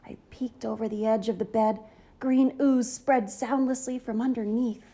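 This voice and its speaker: A woman reading a children's story aloud in an enthusiastic, theatrical, expressive manner. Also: fairly high pitch, moderate pace. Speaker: storybook reader, channel host.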